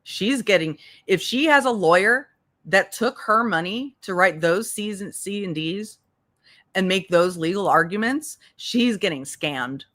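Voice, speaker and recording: A woman's voice: medium pitch, animated, a little slow, fairly bright tone. A woman giving casual, unscripted livestream commentary in a blunt, profane, exasperated manner, repeating points for emphasis as she goes. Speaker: livestream host and legal commentator.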